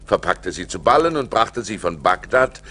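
An actor playing a rich seafaring merchant who recounts his adventures as an expressive storyteller in a radio play. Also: low-pitched, normal speed, heavily acted. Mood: eager. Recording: vinyl record transfer with music behind.

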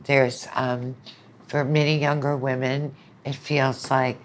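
A woman's voice: very deep voice, flat delivery, takes it slowly, slight background noise. A middle-aged woman guest, a lecturer, explaining her opinions conversationally with anecdotes and quoted asides. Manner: opinionated, analytical, quotes imagined speakers.

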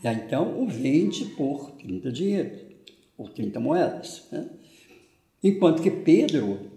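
A man's voice: a little high, very animated, a little slow, gravelly, dark tone. An older man with a Brazilian Portuguese accent, a parish priest giving a conversational homily, often adding "né" as he talks. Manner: conversational, frequent "né" tag questions, gestures with hands. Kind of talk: homily on the gospel. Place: indoors at home, small room. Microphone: fixed camera at medium distance. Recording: echoey room.

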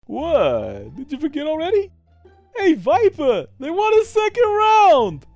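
A woman voicing a bubbly, teasing younger skeleton brother, playful and excitable, for a comic dub. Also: high voice, unhurried, faint music behind, full character voice. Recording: faint background noise.

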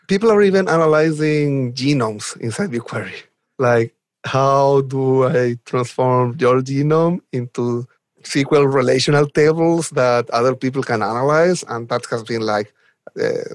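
A man's voice: mid-range voice, dry recording. A man from Chile, a podcast guest, explaining technology conversationally and enthusiastically with vivid examples. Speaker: podcast guest, cloud developer advocate.

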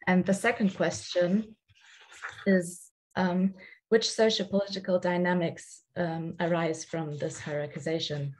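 A woman's voice: on the low side, fairly even intonation, poor audio. A young woman moderating an online panel, introducing the speakers with frequent hesitations, over a headset on a video call. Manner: halting, with fillers.

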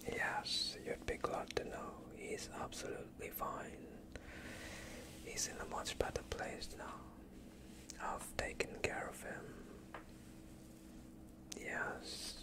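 A young man playing a polite vampire doctor in an ASMR roleplay, soothing and evasive with a sinister undertone, speaking in a hushed voice close to the mic. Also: lightly acted. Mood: calmly evasive. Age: young adult. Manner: hushed, slow, courteous, evasive. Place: quiet indoor studio, dark backdrop. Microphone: close off-screen microphone.

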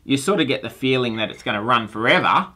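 A man's voice: medium pitch, lively intonation, quick pace, pushed and bright. A science teacher in his forties on a phone call, friendly and earnest, often restarting his sentences. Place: small room with shelves of toys and lab gear. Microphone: phone handset held to ear, room mic.

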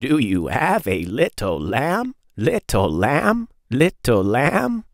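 A man in his thirties reads aloud for young children in a close, dry recording. His pitch swings widely and the phrasing is simple and clear, as a storytime host talks. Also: wildly swooping pitch, slow, dry recording.

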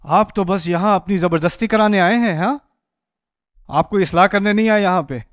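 A man in his forties preaching with animated energy, his voice sitting high for a man. He walks the stage and acts out the people in his story, and the headset mic keeps the sound close and dry. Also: moderate pace, no room sound. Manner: animated, gestures widely while pacing a stage. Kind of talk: sermon. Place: indoor stage before a patterned backdrop.

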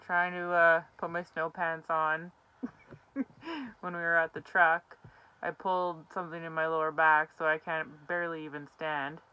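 A woman's voice: sits low, unhurried, sharp tone, clear, resonant tone. Giggly young woman vlogging in an ice tent. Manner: chatty, giggly, easygoing. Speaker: outdoor vlogger. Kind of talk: casual vlog chat. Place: small ice fishing tent on a frozen lake. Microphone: camera held close.

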